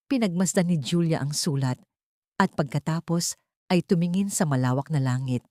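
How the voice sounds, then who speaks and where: A woman narrating a dramatic audiobook story in a steady storytelling manner. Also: sits low, normal speed, dry recording, studio quality.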